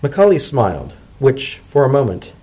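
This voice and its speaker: A man's voice: medium pitch, wildly swooping pitch, moderate pace, warm tone, breathy edge. A man narrating a scripted story as a dramatic reading.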